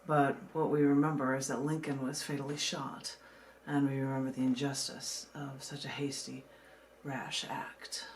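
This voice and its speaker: A woman poet from the United States reading her own work and talking casually about it, in a measured way with dramatic pauses. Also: rumbling low, little pitch movement, a little hiss or hum. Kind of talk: poetry reading with casual asides.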